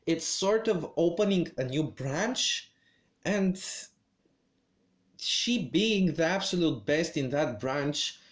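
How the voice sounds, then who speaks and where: A man talking through his opinions on a video game character in a hesitant, measured way, with lots of pauses and restarts. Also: on the high side. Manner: hesitant, many fillers and restarts. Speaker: gaming video creator. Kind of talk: game commentary vlog.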